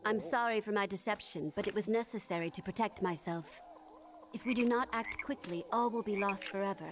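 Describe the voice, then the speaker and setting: A woman's voice: medium pitch, brisk, lightly acted. A woman playing a solemn, resolute young queen in a video game cutscene, speaking formally and earnestly. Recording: clear background effects noise.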